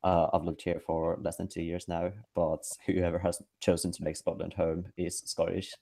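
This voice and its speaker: A man in his twenties from Finland, a webinar panelist speaking over a video call in a halting way, with frequent restarts and wry laughs. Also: deep voice, monotone, gravelly, warm tone. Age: 20s. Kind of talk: casual discussion. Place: indoor room with bright ceiling light. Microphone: video call webcam.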